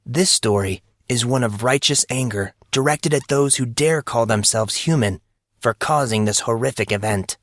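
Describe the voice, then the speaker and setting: A man's voice: mid-range voice, moderate pace, a bit bright, no echo, clean studio sound. A man voicing a smug, theatrical teenage boy news anchor, delivering grandiose oratory as a mock broadcast in an audio story.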